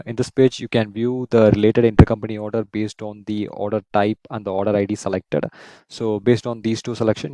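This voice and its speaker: A man in his thirties hosts a software walkthrough in a fairly low, dark, relaxed voice. He explains each step methodically and tags points with little check-in questions. The sound is dry, with very little room on it. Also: dry recording. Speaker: software instructor and host of a tutorial series. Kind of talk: screen-share software tutorial, semi-scripted explanation.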